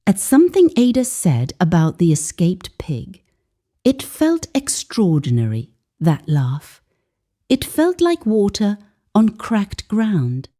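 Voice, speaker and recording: Slow, mellow woman storyteller. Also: mid-range voice, huge pitch swings. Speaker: storyteller, narrator. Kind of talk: scripted dramatic story narration.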